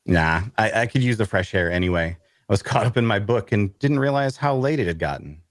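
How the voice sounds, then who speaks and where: An actor playing an awkward, bookish loner adventurer who narrates an audio drama in a wry way. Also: male voice, lowish voice, animated, a little fast, no room sound, clean studio sound, lightly acted. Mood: awkward, polite. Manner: wry, hesitant in conversation. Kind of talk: first-person narration and dialogue in audio drama.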